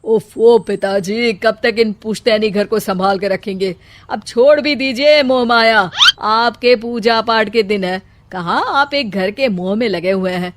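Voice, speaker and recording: A greedy, impatient grown son from a moral story, acted by a woman. He nags in exasperation at a medium pitch. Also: measured pace, slight background noise, close to an everyday voice. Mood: exasperated. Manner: exasperated, nagging.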